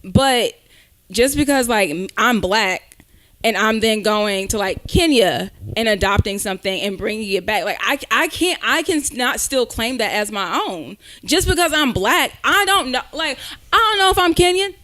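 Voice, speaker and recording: Young woman, quick and excitable. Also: on the high side. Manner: excitable, laughing, filler-heavy. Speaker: podcast co-host. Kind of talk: casual debate chat.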